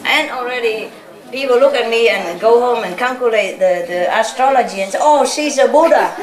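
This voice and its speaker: A woman from Vietnam, speaking as a spiritual teacher to a live audience. She talks in a chatty, laughing way, often ending sentences with little questions like "no?". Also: fairly high pitch. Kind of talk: informal talk to a live audience. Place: lecture hall with audience. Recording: echoey hall, audience laughter.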